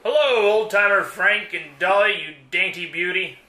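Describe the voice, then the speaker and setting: A man's voice: high voice, moderate pace, very noisy, close to an everyday voice. A man playing a hearty, gallant, folksy cowboy hero in a spoof western radio serial. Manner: hearty, gallant, self-assured. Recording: roomy echo.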